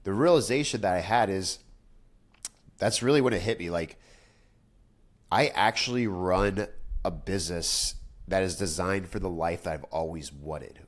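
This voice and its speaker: Low-voiced man, stuffy nasal voice. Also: moderate pace, pauses often. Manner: candid, rambling, frequent fillers and restarts. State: nasal, congested after nose surgery. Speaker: business owner vlogger. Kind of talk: casual direct-to-camera talk.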